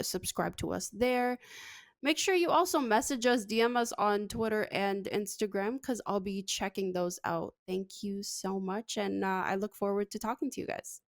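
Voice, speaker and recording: A woman's voice: mid-range voice, gravelly, no room sound, clean studio sound. A woman casually presenting a social media segment on a talk show, speaking informally to co-hosts and audience.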